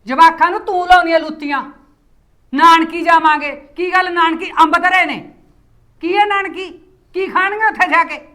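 A woman playing a sharp-tongued, sarcastic mother-in-law in a village family drama. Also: extremely high pitch. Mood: scolding. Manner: scolding, sarcastic, rapid complaints.

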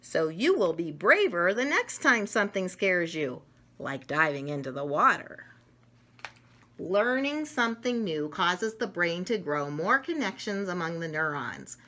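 A middle-aged woman reading a children's picture book aloud in an expressive way, with small asides, recorded in a room at home. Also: on the low side, a little slow. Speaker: storybook reader. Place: room at home with wooden cabinets.

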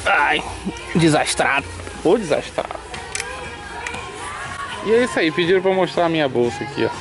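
A man's voice: fairly high pitch, animated, moderate pace, music in the background, a crowd in the background. A man from Brazil giving an upbeat, chatty tour of outlet stores for his vlog, filmed on a handheld camera. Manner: chatty, upbeat, pointing things out. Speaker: vlogger. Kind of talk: casual shopping vlog.

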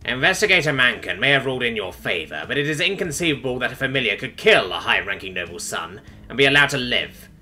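A man voicing a haughty, boastful old noble magistrate with a gruff delivery, in an audiobook. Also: mid-range voice, lively intonation, fast, few pauses, pushed and bright, faint music behind. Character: pompous, smug old male noble magistrate, villain. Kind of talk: audiobook character voice.

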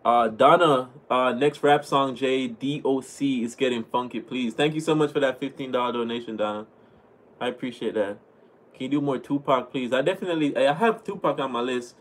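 Young Jamaican-accented man, laid-back streamer, mid-pitched voice, webcam sound in a small home room. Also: a little fast. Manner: relaxed, chatty, thanks viewers often. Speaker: livestream host reading chat. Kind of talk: casual livestream chat. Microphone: webcam at desk.

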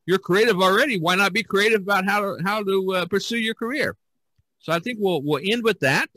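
A man hosting a podcast in casual conversation, talking in a folksy, rambling, halting way. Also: high voice, pushed and bright, no room sound. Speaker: podcast host.

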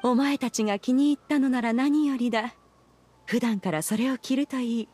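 A woman's voice: on the high side, moderate pace, mellow, slightly rough. A woman voicing a proud, regal ancient immortal in an anime game, a stern but caring master with stately, archaic diction.

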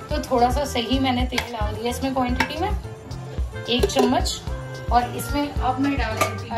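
A woman narrating a home recipe step by step in a conversational, explanatory way. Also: a little high. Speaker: home cooking vlogger and host.

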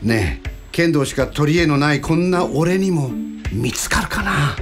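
A man's voice: on the high side, animated, measured pace, music in the background. An actor playing a self-doubting, grumbling ordinary man in a radio drama, casual, blunt and easily flustered. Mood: uncertain.

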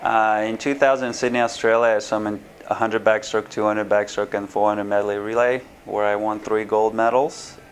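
A man's voice: on the low side, level tone, normal speed, hardly any pauses, slight background noise. A man in his thirties from Ukraine, seated in a small office and answering interview questions to camera with many fillers and restarts. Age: 30s. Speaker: swim school owner. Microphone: camera framed at chest height, seated.

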